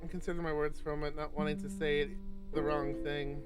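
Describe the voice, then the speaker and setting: A man with a fairly high voice reads a visual novel aloud on a stream. He narrates casually, trips over words and restarts, and drops in chatty asides, with guitar and piano music clearly behind him. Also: flat delivery, fast. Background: guitar and piano game music.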